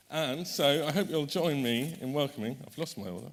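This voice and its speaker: A man's voice: a little high, animated, moderate pace, slight background noise. A man chairing a conference panel, introducing the speakers in a chatty way, correcting himself often and making light jokes.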